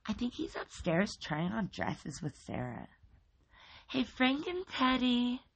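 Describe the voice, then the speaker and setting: A man's voice: very high voice, wildly swooping pitch, takes it slowly, gravelly, fairly bright tone, husky, pitched above the performer's own voice, heavily acted. A man voicing a cheery, placid woman who speaks breezily. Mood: cheery breezy. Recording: dull lo-fi recording.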